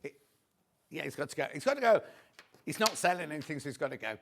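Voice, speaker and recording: Chatty guy hosting live tv shopping, kinda high voice, bit croaky. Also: sing-song, fast, background noise. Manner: chatty, reads viewer messages aloud warmly. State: slightly croaky voice. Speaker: shopping channel host.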